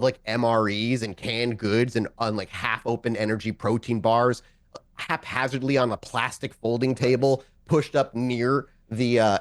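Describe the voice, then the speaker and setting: A man improvising narration as game master of a tabletop game, talking in a casual, conversational, sometimes profane way. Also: medium pitch.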